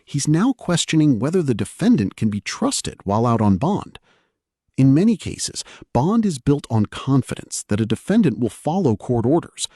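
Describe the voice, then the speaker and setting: A man's voice: medium pitch, sing-song, normal speed, mellow, husky, no room sound. A man reading scripted dramatic commentary as a narrator, recorded as a close voice-over. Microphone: close, dry voice-over.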